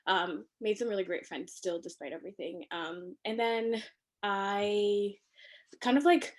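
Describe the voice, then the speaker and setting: A woman's voice: mid-range voice, unhurried. Chatty young woman from Ethiopia. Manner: chatty, animated, lots of fillers and laughter. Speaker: podcast guest. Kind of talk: casual conversation. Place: living room at home.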